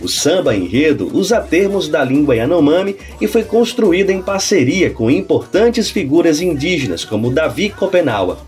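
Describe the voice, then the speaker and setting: Lively man reading news. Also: fairly high pitch, moderate pace, few pauses, faint music behind. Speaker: news presenter. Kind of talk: scripted news narration.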